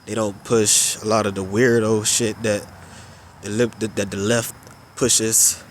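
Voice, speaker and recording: Man, nasal, stuffed-up, slow drawn-out delivery, lowish voice, commentary. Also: flat delivery, a little hiss or hum. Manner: unhurried, drawn-out phrasing with filler pauses. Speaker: religious commentator. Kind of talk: casual religious commentary.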